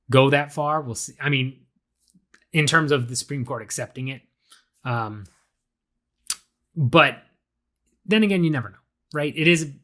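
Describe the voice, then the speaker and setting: A young adult man hosting a solo podcast, talking casually with lots of fillers and restarts, his voice a bit raspy from being ill. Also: mid-range voice, sharp tone. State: slightly raspy from illness. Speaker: podcast host.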